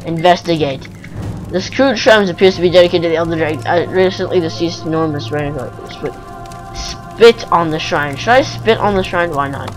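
A man's voice: on the high side, moderate pace, poor audio. A young man giving casual, goofy gameplay commentary, reacting aloud with exclamations. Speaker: gamer. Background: game music.

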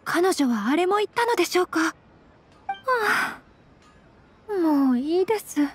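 A woman voicing a refined, shy young noblewoman in an anime game, very polite, formal and soft-spoken. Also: very high voice, sing-song, slow, a little hiss or hum, exaggerated voice. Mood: shy, anxious. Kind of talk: Japanese anime game voice acting.

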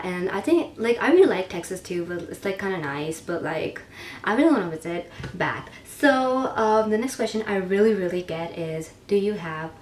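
Teen beauty vlogger, 18, woman from Pakistan, rambling chatty delivery, slightly distant camera mic in a roomy bedroom with faint hiss. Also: medium pitch. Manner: chatty, rambling, heavy use of "like". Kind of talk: casual Q&A vlog. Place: bedroom, indoors. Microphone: camera mic at a short distance. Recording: echoey room, a bit distant, faint hiss.

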